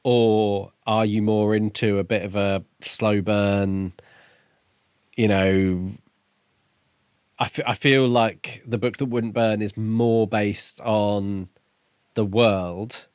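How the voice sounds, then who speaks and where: Lower-pitched man, measured, dry close sound. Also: fairly even intonation, a little mellow, clean tone, dry recording. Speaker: podcast co-host. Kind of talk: casual book discussion chat.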